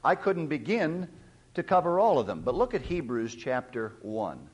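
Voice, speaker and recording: A man's voice: sing-song, moderate pace, husky. A middle-aged man preaching a sermon, reading scripture aloud and explaining its old words as he goes. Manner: pitch rises sharply and louder when stressing verses. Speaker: pastor.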